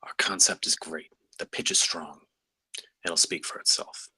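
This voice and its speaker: A man acts out a wizened designer in his sixties at a table read. The voice is deep and husky, recorded dry. He is composed, measured and sure of himself. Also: steady tone, pushed and bright, no echo, whispered, full character voice. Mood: firm reassurance. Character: wizened 66-year-old veteran designer, composed and focused.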